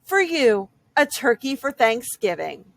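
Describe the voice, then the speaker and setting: A woman's voice: a little high, very animated, measured pace, creaky, no room sound, full character voice. A woman voicing a kindly, determined male moose in a storybook, courteous and sweetly insistent. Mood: earnest, cheerful.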